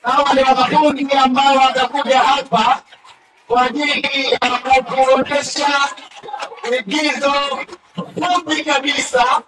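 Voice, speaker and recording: A man's voice: very high-pitched, little pitch movement, a little slow. A man hosting a school talent show, announcing acts enthusiastically. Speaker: master of ceremonies. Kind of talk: event hosting. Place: school hall event. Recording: echoey hall, far from mic, faint noise.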